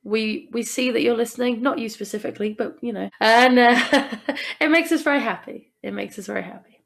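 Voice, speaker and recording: A woman with a slightly high voice and a marked creak co-hosts a podcast. Her delivery is informal and chatty, as in a casual conversation. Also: brisk, a bit bright. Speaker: podcast co-host.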